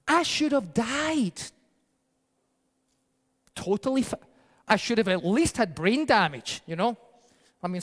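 A male pastor preaches with a high voice, telling stories in a conversational way. His pace speeds up and slows down. The sound rings with long reverb, as in a large church hall. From Peru-born, raised in the UK by Scottish parents. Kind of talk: sermon.

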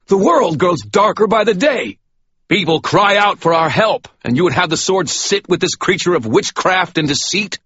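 A man voicing a fanatical priest who pleads in a high, strained voice. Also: animated, normal speed, bright, forceful tone, slightly rough, no echo, muffled recording, full character voice. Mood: desperate. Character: fanatical male priest, cold and desperate.

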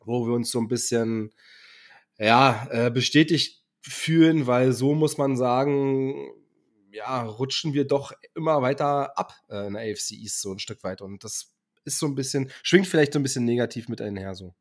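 A man's voice: medium pitch, normal speed. A young man co-hosting a casual podcast, a bit under the weather, speaking hesitantly with many fillers and hedges. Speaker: podcast co-host.

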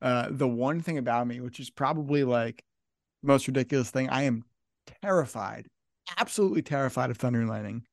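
A man's voice: medium pitch, fairly quick, vocal fry, no room sound, clean studio sound. A young man hosting a casual podcast interview, enthusiastic and repeating his phrases as he talks. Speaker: podcast host.

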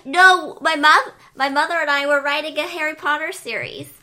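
A naive little boy, played by a woman in an improvised comedy bit. The voice sits high and bright, and he states everything bluntly and matter-of-factly, as if it made perfect sense. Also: moderate pace. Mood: earnest. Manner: blunt, matter-of-fact kid talk.

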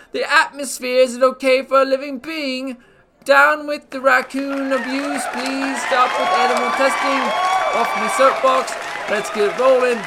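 Comic game show host, voiced by a man: very high, bright, blustering rambler. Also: smooth, heavily acted. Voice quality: high squeaky put-on voice with slangy blustering delivery. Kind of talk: comedic character monologue on a podcast. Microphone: podcast mic.

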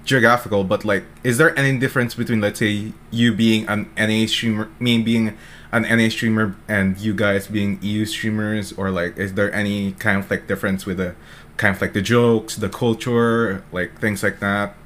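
A man from the Philippines hosts a relaxed online streamer podcast, his voice sitting a little low. He wanders through long questions, padding them with fillers and restarts. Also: moderate pace, slight background noise. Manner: rambling, lots of filler words. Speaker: podcast host. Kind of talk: casual chat.

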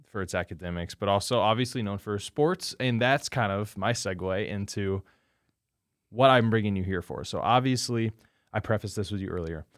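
A man's voice: on the low side, dry recording, clean studio sound. A man in his twenties hosting a podcast, reading a scripted intro and then asking easygoing interview questions. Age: 20s. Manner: easygoing, rephrases questions as he asks them. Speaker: podcast host.

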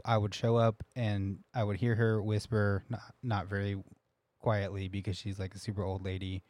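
Casting: young male podcast host, low voice, rambling and laughing, dry close mic. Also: darkish tone, no room sound. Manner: rambling, laughing, many restarts. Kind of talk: casual storytelling chat.

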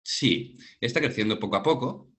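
A man's voice: mid-range voice, lively intonation, moderate pace, a bit bright. A man of about forty with a Madrid Castilian accent, a professional voice-over artist answering questions in a conversational interview. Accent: Castilian Spanish from Madrid. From Madrid, Spain. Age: around 40.

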